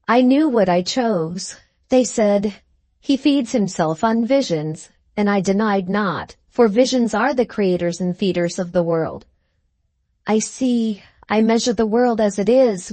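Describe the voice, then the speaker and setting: A woman's voice: medium pitch, dark tone, no echo, close to an everyday voice. A woman voicing a fervent, visionary male scholar in an audiobook, speaking in solemn, lofty oratory. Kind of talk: literary audiobook character reading.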